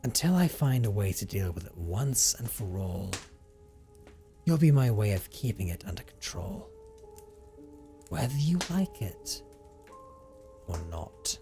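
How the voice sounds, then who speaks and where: Man playing a low, slow-speaking brooding male vampire. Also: big pitch swings, mellow, faint music behind. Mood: menacing, hungry. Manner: formal, theatrical, deliberate phrasing with condescending endearments. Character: brooding, teasing, possessive male vampire living as a forest recluse. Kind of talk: dramatic first-person audio roleplay monologue.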